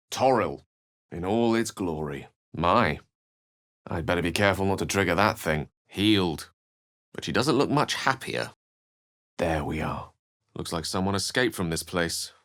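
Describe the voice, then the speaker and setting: A man's voice: low-pitched, a bit bright, heavily acted. An actor playing a witty, curious male wizard adventurer in a video game, speaking in dry, articulate asides. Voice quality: dry wit, wry and articulate. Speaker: companion wizard character.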